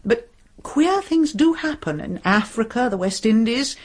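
A woman playing a scatty, opinionated middle-aged English crime novelist in a radio drama, husky and given to exclaiming. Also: fairly high pitch, lively intonation, a little slow, darkish tone. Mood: musing, fretful. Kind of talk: British radio drama acting.

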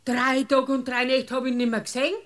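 A high female voice as an earthy Bavarian countrywoman in a radio comedy. She is brazen and talkative, telling things in a folksy, blunt, aggrieved way. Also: completely flat delivery, fast talker, a bit bright. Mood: indignant. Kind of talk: comic radio drama acting.

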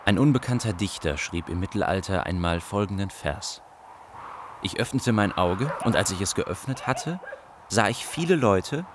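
Actor as a low, detached narrator. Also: male voice, expressive, normal speed, background noise, natural voice. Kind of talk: literary radio drama narration.

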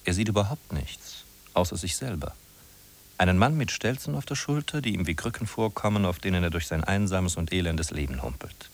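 A man's voice: low voice, lively intonation, fairly quick. A man narrating a 1950s radio play in a solemn, declamatory, emphatic scripted style.

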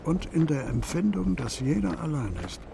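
Sad reflective storyteller, gravelly voice, measured broken phrases, radio play style, faint noise in back. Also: male voice, fairly high pitch, lively intonation. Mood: melancholy. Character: melancholy male storyteller recounting a troubled couple's story. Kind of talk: literary narration in a radio play.

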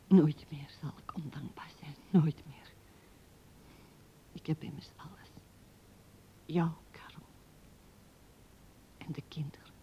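An actress playing an elderly, pensive widow murmuring a monologue in a radio drama, often slipping into whispers. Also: female voice, deep voice, fairly even intonation, slow pace, pauses often. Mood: whispered regret. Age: older. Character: pensive seventy-year-old widow musing at her husband's grave. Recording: dull vintage radio recording.

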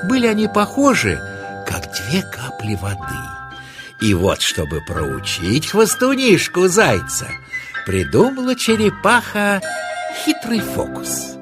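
Male narrator, fairy tale, very lively, slow, music under. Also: sits high. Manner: playful, acts out laughter in the telling. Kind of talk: expressive storybook narration.

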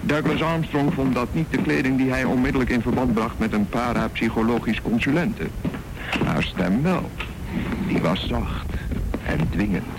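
A man narrating a radio drama in a measured, wry storytelling manner. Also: medium pitch, heavy background noise.

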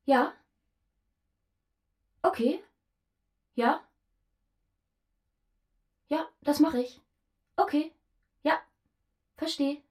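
A man voicing the narrator's affectionate young girlfriend in an audiobook reading, speaking in a soft, hushed, tender way. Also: very high-pitched, very slow, long pauses, pitched above the performer's own voice, full character voice. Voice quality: soft and breathy.

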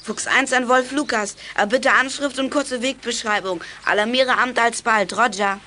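A woman's voice: a little high, fairly even intonation, normal speed, sharp tone, slight background noise. A woman playing an eager, conscientious schoolboy radio amateur in a German children's radio play. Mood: brisk, businesslike.

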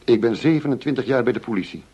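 An actor playing an earnest, driven middle-aged police chief inspector in a radio drama, speaking formally, insistently and with measured phrasing. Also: male voice, medium pitch, mellow, breathy edge, lo-fi sound, natural voice. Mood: dissatisfied, earnest.

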